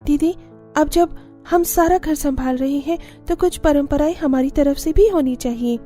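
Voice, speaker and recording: High, bright young daughter-in-law played by a woman. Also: unhurried, soft background music. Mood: earnest, resolute. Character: wistful young daughter-in-law longing for spicy food. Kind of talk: Hindi cartoon story acting.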